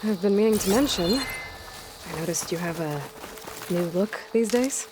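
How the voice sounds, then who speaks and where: An actress playing a wary, resolute young outcast huntress in a video game, speaking tersely and watchfully, often as if thinking aloud. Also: female voice, mid-range voice, animated, a little slow, noisy background, close to an everyday voice. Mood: dry, teasing. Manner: terse, watchful, often muttering thoughts to herself. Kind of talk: naturalistic video game acting. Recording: game soundtrack music behind the voice.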